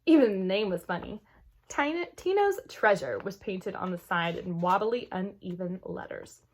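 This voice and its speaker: A woman reading a children's chapter book aloud as an expressive storyteller. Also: mid-range voice, moderate pace, slight background noise.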